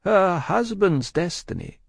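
A man voicing a cold, composed French tavern-keeper's wife in an audiobook, speaking in measured, curt phrases. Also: high-pitched, big pitch swings, creaky, breathy edge, no echo, studio quality, pitched above the performer's own voice, exaggerated voice. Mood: grimly composed.

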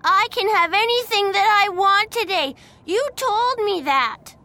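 A woman plays a bratty little boy in a storybook, demanding and loud. The voice is extremely high and sharp, with words stretched out slowly as he insists on getting his way. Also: exaggerated voice. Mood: angry whining. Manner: shouting, insistent, drawn-out words. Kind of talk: audiobook character voice.

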